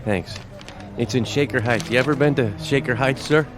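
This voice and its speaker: Casting: male actor for earnest young American GI, mid-range pitch, deferential and unsure, radio drama. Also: moderate pace, close to an everyday voice. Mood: earnest, polite. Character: earnest young American soldier worried for his mother.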